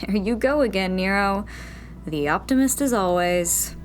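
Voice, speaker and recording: A woman's voice: mid-range voice, expressive, a little slow, faint music behind, close to an everyday voice. A woman playing a guarded young rebel in hiding, dry and hesitant, in a sci-fi audio drama. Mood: wry. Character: guarded, wry young female rebel radio host in hiding.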